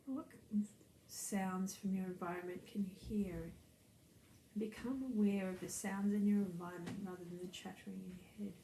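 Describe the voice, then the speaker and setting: A woman leads a guided meditation as a breathing teacher, speaking in a soft, soothing, gently instructive way that turns breathy at times. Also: medium pitch, steady tone, moderate pace. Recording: roomy echo, faint background noise.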